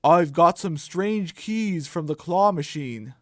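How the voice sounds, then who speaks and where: A man plays an eager burrito superhero in a comic audio adventure. His voice sits a little high and moves slowly, recorded dry and close. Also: expressive, fairly bright tone, no room sound.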